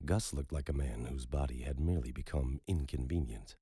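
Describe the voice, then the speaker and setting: A man narrating a dark thriller audiobook in a measured, moody storytelling manner. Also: rumbling low, creaky, slightly rough, no echo, clean studio sound.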